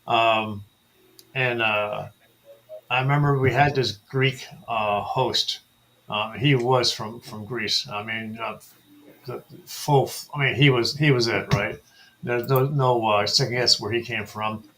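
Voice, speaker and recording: A man telling a story in casual chat as a co-host, halting, with many fillers. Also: mid-range voice, fairly even intonation, fairly bright tone, a little hiss or hum, rough recording.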